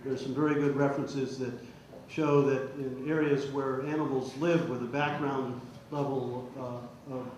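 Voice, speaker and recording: A man speaking as a panelist at a public forum, answering off the cuff in a halting, hesitant way and often repeating words. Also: medium pitch, little pitch movement, darkish tone. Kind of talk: answering audience questions off the cuff. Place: public meeting hall. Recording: muffled, very echoey hall, faint noise.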